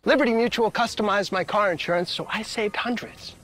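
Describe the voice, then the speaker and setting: A proud, goofy, high-pitched customer. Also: male voice, brisk, a bit bright, faint music behind. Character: proud, goofy male customer showing off his wax figure. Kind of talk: comic TV commercial acting.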